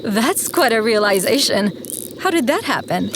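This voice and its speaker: An actress playing a patient, frank woman engineer in an audio drama, calm and reassuring with dry humour. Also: fairly high pitch, normal speed, background noise. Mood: calm, encouraging. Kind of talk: scripted audio drama acting.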